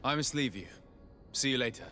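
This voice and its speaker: An actor playing an earnest young nobleman emissary in a video game, speaking in a measured, formal way. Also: medium pitch, lively intonation, pushed and bright, faint music behind. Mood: pensive. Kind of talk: video game cutscene dialogue.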